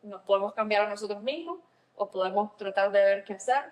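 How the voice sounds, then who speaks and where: A woman's voice: medium pitch, brisk. A woman with a Nicaraguan Spanish accent, explaining things conversationally in a semi-professional register as a therapist and podcast co-host.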